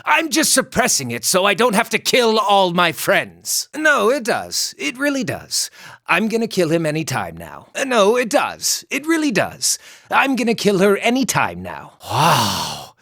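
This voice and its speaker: A man's voice: sits high, unhurried, gravelly, dry recording, studio quality, full character voice. A man voicing an irreverent, lusty small furry druid in a video game, with a wry, theatrical delivery. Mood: defensive, insistent. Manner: wry, theatrical, swinging from excited to muttering. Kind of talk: video game character dialogue.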